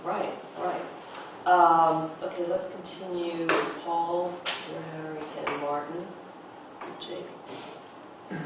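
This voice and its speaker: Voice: medium pitch, level tone, slow pace, long pauses. A teacher leading a table read, prompting a group with questions and repeating their answers back. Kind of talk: discussion, questioning and instructing a class. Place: echoey indoor room, recorded from a distance. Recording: echoey room, far mic, clear noise.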